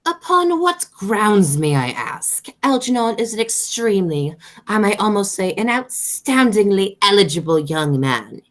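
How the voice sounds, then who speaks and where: A woman's voice: medium pitch, sing-song, unhurried. A woman playing an imperious, snobbish English aunt in a radio comedy, pompous and declamatory, stumbling now and then over the words. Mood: indignant. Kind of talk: stage comedy as amateur radio play.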